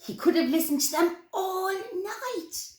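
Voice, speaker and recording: A woman's voice: very high-pitched, lively intonation, a little slow, darkish tone. A woman reading a story aloud expressively for young children. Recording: roomy echo, clear background noise.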